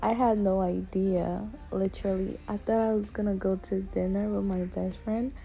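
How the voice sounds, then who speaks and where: A woman's voice: mid-range voice, level tone, normal speed, creaky, dark tone. A woman in her early twenties chatting casually and directly to viewers in a personal vlog. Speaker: vlogger. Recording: music behind the voice. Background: background music.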